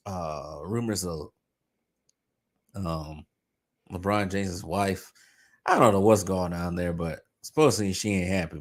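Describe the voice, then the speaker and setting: Male sports ranter, gravelly and going hoarse, mocking tone, pitch leaping high and low. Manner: mocking, scornful, pleading in jest. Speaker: sports commentator. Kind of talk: ranting basketball commentary.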